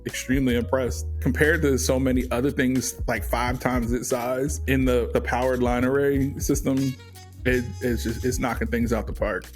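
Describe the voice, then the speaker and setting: Even-toned American man, casual testimonial. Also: mid-range voice, normal speed, faint music behind. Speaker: audio consultant and product reviewer.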